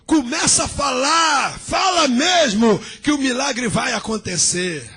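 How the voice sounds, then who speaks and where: A middle-aged male pastor preaching a fiery sermon in a church, shouting and chanting in a sing-song way as he calls on the congregation. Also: very high voice, expressive, takes it slowly, husky.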